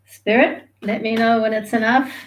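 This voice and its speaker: A woman's voice: a little high, fairly even intonation, moderate pace, heavy background noise. A woman, from Sweden and Australia, giving a chatty, rambling live tarot reading and laughing easily. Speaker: tarot reader.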